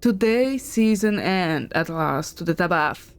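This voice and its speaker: A woman as an imperious, slow-speaking ruler. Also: lowish voice, expressive, clear, resonant tone. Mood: proclaiming judgment. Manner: commanding, measured, oratorical. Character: imperious, merciless ruler pronouncing judgment on a foe.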